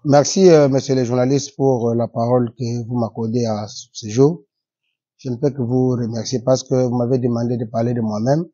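A man in his forties with a Congolese French accent speaks in a formal, measured way, pausing often on "euh". His voice is very dark and husky, and the recording sounds dull and lo-fi. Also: medium pitch, steady tone. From Democratic Republic of the Congo. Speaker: politician guest. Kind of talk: interview answers.

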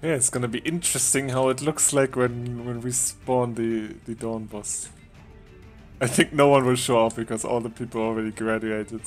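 A man casually chatting with a friend while they play a game together, relaxed and joking, laughing now and then. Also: medium pitch, normal speed, soft background music. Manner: relaxed, joking, chuckles often. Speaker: game streamer. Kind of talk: casual gaming chat with a friend.

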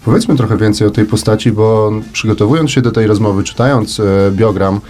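A man hosting a broadcast interview in a conversational, searching way, building long questions with pauses. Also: fairly low pitch, darkish tone. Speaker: interview host. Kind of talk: broadcast interview, hesitant phrasing.

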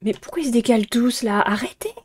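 A woman's voice: a little high, lively intonation, fast talker, slightly rough. A young woman playing a visual novel, reading dialogue aloud and commenting in a playful, teasing way. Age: young adult.

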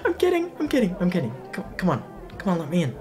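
A young man playing a caring best friend at a party in an ASMR roleplay, talking casually in a halting, rambling way. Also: high-pitched, wildly swooping pitch, crowd noise. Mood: playful, teasing. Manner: halting, rambling, breathy chuckles. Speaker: roleplay audio performer.

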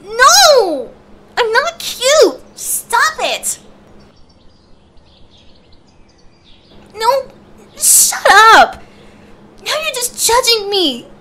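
A woman's voice: extremely high pitch, very animated, very slow, pushed and bright, a little hiss or hum, heavily acted. A woman voicing a shy, flustered young woman in an ASMR roleplay, speaking hesitantly with bashful stammers. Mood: embarrassed. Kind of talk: ASMR roleplay audio drama.

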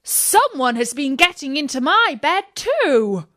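A woman's voice: sits high, big pitch swings, unhurried, bright, forceful tone, no room sound, studio quality, pitched above the performer's own voice, exaggerated voice. A woman voicing fussy, kindly little male dwarfs in an exclaiming manner for a children's storybook. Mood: indignant, puzzled. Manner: exclaiming, questioning.

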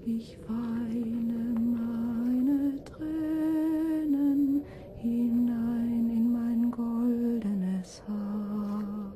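An actress playing a tender, lovelorn young maiden in a fairy-tale audio drama, lilting and plaintive. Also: female voice, fairly high pitch, mellow, low-quality recording.

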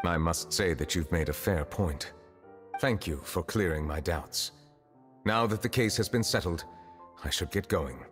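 An actor playing a solemn, courteous, ageless chief justice in a video game, with formal, deliberate, reserved delivery. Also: male voice, deep voice. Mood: polite, reserved. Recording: long reverb, music underneath.

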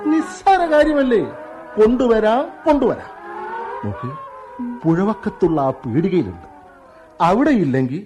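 Brief: a doting young husband, high, lively and mellow, teasing his wife in a radio play. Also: exaggerated voice. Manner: teasing, indulgent, playfully exaggerated. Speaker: radio drama actor. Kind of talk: 1990s-style radio drama acting.

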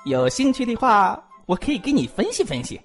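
A man's voice: very high voice, big pitch swings, fairly quick, vocal fry, fairly bright tone, soft background music, heavily acted. A man voicing a talkative, joking young male physician who gossips and pleads comically in a multi-cast audio drama. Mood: gossipy. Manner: bantering, giggly, exaggerated pleading.

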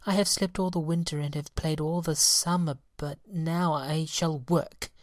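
A woman's voice: low-pitched, steady tone, measured pace, no room sound, studio quality, pitched above the performer's own voice. A woman voicing a small, stubborn and petulant lazy fairy for a storybook. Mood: eager, resolved. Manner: petulant, calling out.

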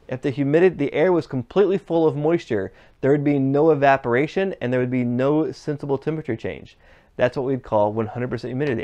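A man's voice: medium pitch, a little mellow, lo-fi sound. A man in his thirties teaching an HVAC class in a workshop, explaining step by step and putting questions to the viewer. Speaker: HVAC instructor. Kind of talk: classroom demonstration lesson. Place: classroom workshop with equipment, indoors. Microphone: fixed camera, standing at mid distance.

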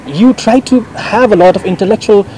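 A man's voice: sits high, normal speed, a little mellow, poor audio. A young man, a radio presenter, interviewing a guest in a garden with admiring, eager questions and frequent "mm-hmm" replies. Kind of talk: interview hosting. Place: outdoor garden. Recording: outdoor recording with faint background noise.

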